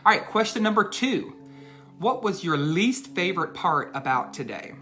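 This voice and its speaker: A man's voice: high-pitched, big pitch swings, bright, forceful tone, faint music behind. Male educator reading aloud for children. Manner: deliberate emphatic phrasing, addressing children directly. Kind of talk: children's bedtime read-aloud and talk to young listeners.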